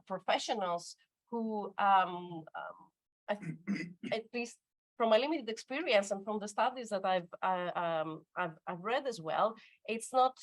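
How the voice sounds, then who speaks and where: A woman's voice: medium pitch, no room sound, poor audio. A middle-aged woman speaking as an academic panelist at a conference workshop, talking off the cuff with many fillers and restarts. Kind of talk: unscripted conference panel talk.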